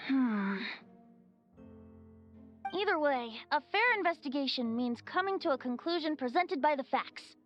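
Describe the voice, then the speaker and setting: A woman playing a calm, principled advisor in a video game, measured, formal and reassuring. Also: high voice, muffled recording, faint music behind.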